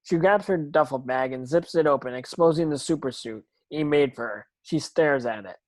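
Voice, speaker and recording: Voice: medium pitch, moderate pace, no echo. Dry-sounding narrator reading stage directions. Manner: stumbles and repeats words while reading. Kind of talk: scripted narration in an online table read.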